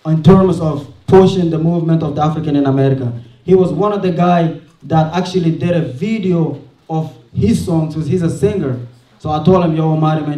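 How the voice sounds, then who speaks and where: A man with a raised but mellow voice gives a chatty talk through his slides, laughing as he goes. He sounds far from the mic in an echoey hall. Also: on the high side. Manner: chatty, laughs often, says "next" to advance slides. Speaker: event speaker presenting slides. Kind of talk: casual public talk at a showcase.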